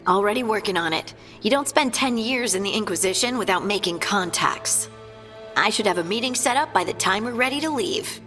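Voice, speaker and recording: A woman's voice: medium pitch, expressive, brisk, fairly bright tone, in a large hall, faint music behind, lightly acted. A woman playing a friendly, thoughtful dwarf scout in a video game, talking in an earnest, conversational way. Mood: businesslike.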